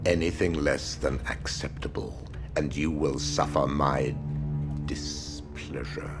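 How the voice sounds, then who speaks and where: An actor playing a menacing, contemptuous potions teacher in a video game, drawling in a deliberate, disdainful English voice. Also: male voice, very deep voice, steady tone. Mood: cold contempt. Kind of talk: video game cutscene acting. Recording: loud music behind the voice.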